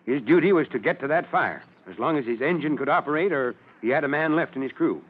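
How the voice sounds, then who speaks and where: An actor playing a middle-aged fire chief, firm, gruffly supportive and authoritative, in an old radio drama. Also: male voice, a little high, expressive, fast. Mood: brisk, approving. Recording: muffled old radio recording.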